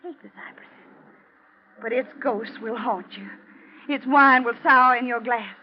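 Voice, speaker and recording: Actress as coldly vengeful wife, muffled old radio. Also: female voice, fairly high pitch, steady tone, slight background noise, natural voice. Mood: vengeful, menacing. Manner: ominous, deliberate, theatrical. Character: vengeful wife, cold, taunting, avenging her father. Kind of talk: 1940s radio drama acting.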